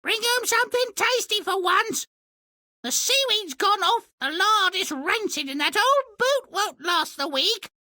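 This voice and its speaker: A man's voice: very high-pitched, expressive, measured pace, sharp tone, no room sound, studio quality, exaggerated voice. A man playing a greedy, imperious fisherman's wife, demanding and pompous, in a cartoonish fairy-tale game. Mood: nagging.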